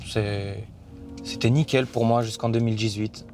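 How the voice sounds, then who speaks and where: A man's voice: on the low side, fairly even intonation, moderate pace. Earnest young man over music. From France. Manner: earnest, hesitant, restarts phrases. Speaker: interviewee. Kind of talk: documentary interview.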